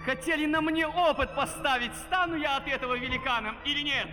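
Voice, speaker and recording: A man's voice: very high voice, a little fast, over music. An actor playing a plucky young boy in a children's fairy-tale opera, with earnest theatrical delivery. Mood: excited. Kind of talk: 1970s children's theatrical audio drama. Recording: reverberant hall on vinyl, loud orchestra.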